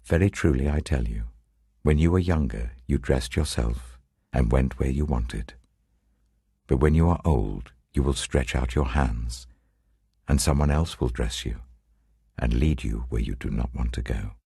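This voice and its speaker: A British man voicing Jesus as a calm, authoritative and gentle teacher in a Bible reading, slow, solemn and tender. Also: a bass voice, vocal fry, breathy edge, dry recording, studio quality, heavily acted.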